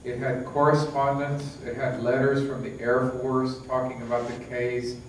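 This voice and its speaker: A man's voice: medium pitch, level tone, moderate pace. A man giving a conference lecture in a conversational way, with many fillers and restarts. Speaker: lecturer, book author. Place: lecture hall. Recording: echoey hall, distant, faint hiss.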